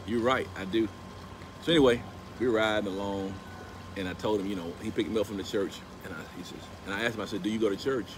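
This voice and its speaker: A middle-aged man telling a story to his phone camera outdoors by a pool, slipping into animated preaching as he quotes scripture. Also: lowish voice, fast. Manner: animated, rising into emphatic preaching when quoting scripture. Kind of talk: casual storytelling vlog with preaching. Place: outdoors beside a pool at a house. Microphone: phone camera at arm's length. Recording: outdoor recording with steady background noise.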